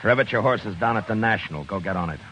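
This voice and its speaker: An actor playing a tough frontier marshal in an old radio drama, terse and commanding, also narrating. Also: male voice, on the low side, expressive, fast, pushed and bright. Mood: stern. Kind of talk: 1950s radio drama acting. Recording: noisy old radio recording.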